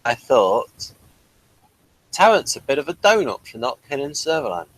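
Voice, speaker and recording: A man's voice: medium pitch, sing-song, moderate pace, fairly bright tone, no echo. A mild-mannered man hosting a casual, unscripted review chat, a little hesitant as he talks. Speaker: review show host. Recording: noisy room ambience.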